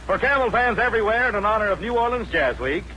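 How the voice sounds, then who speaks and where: A man's voice: high voice, lively intonation, fast talker, background noise. A man announcing in scripted 1940s radio style. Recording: old radio broadcast recording. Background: music.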